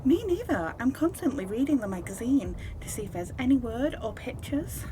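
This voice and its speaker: With faint noise behind, a woman plays an eager, nosy gardener in an amateur radio drama. She is informal and down-to-earth, her slightly high voice moving about a lot. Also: sing-song, normal speed, mellow. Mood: earnest. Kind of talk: amateur radio play acting. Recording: faintly noisy amateur recording.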